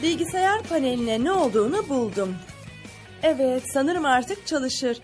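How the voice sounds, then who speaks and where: Bossy no-nonsense cartoon heroine, super high voice, music behind. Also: female voice, lively intonation, unhurried. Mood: matter-of-fact. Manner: commanding, sharp-tongued. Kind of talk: dubbed cartoon acting.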